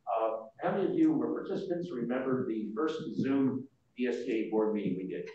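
An older man presenting informally at a board meeting, explaining things in a halting way. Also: mid-range voice, mellow, slight background noise. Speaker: association board member presenting. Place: large indoor meeting room. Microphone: far room microphone. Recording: muffled, very echoey room, distant mic.

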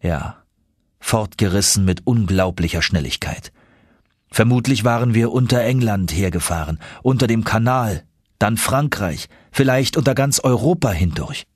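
A man reads an adventure audiobook with expressive, suspenseful delivery. His voice is fairly low and noticeably husky. The recording is close and very dry. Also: normal speed, dry recording. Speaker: audiobook narrator. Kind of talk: dramatic scripted audiobook narration.

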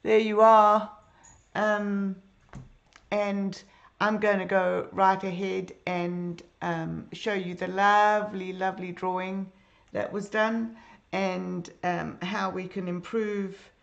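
Croaky middle-aged woman teaching live. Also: mid-range voice, flat delivery, takes it slowly, dull audio. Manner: chatty, greets viewers by name, many ums. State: hoarse, croaky. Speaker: drawing teacher. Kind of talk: live-streamed drawing lesson. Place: home room with bookshelves. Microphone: computer webcam.

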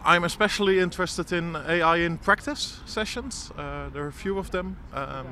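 A man's voice: a little high, normal speed, sharp tone. A man who works as a data scientist giving short, straightforward answers in a street interview. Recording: faint outdoor noise.

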